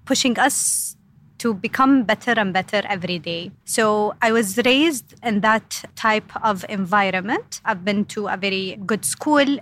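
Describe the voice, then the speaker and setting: A woman's voice: mid-range voice, a little slow, fairly bright tone. A woman from Saudi Arabia, a podcast guest talking earnestly about her upbringing and repeating phrases for emphasis. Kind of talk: interview conversation.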